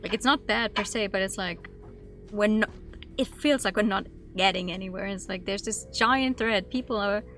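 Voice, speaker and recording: Girl streamer chatting over a game, mid pitched voice, some music in the back. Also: normal speed. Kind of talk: livestream game commentary, casual chat with viewers, reading game text aloud. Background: soft background music and game audio.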